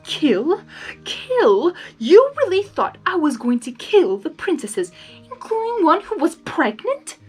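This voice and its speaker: A wounded, possessive male monster from a fantasy story, acted out by a woman. The delivery is animated and accusing, with growling sarcasm and music behind it. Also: extremely high pitch, slow, pitched above the performer's own voice, full character voice. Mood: indignant.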